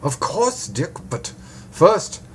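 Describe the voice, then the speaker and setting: A man voicing a measured, cautious doctor of about sixty with a German accent, explaining precisely in an audiobook story. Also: a little high, wildly swooping pitch, a little hiss or hum, voice pushed up, exaggerated voice. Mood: measured, formal.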